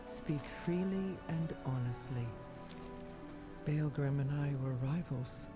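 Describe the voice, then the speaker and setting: An earnest leader of a stone people in a fantasy game, heavy with feeling. She speaks slowly and with care, and music plays behind her. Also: deep voice. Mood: somber reflection. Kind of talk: dramatic game voice acting.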